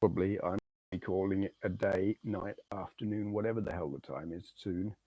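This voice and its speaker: A middle-aged man with a hoarse voice, chatting casually to viewers on a retro gaming live stream and reading out their names. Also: sits low, normal speed, mellow, no room sound, rough recording. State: hoarse, losing his voice.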